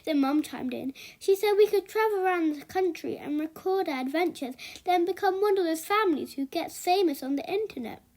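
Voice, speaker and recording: A woman reading a children's book aloud as an expressive storyteller. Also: extremely high pitch, brisk, hardly any pauses.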